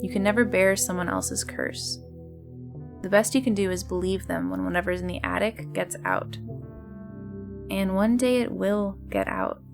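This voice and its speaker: A woman playing a mysterious, tender host of an otherworldly late-night radio show, speaking in a measured, cryptic, intimate monologue. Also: mid-range voice, lively intonation, gravelly, over music. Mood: solemn, cryptic. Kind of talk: scripted monologue in a fiction podcast styled as radio.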